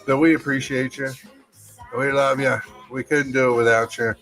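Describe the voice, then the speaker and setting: Guy hosting a casual podcast, folksy and chatty, average pitch, some music playing under him. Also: flat delivery, normal speed, a bit bright, low-quality recording. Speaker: podcast host. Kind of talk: casual podcast talk, reading an article aloud with asides.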